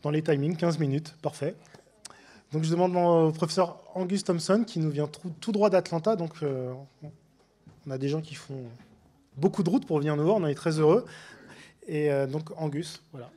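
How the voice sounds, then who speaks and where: Husky, mellow-voiced male moderator. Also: a little high. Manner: brisk, timekeeping remarks. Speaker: session moderator. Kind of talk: introducing the next speaker.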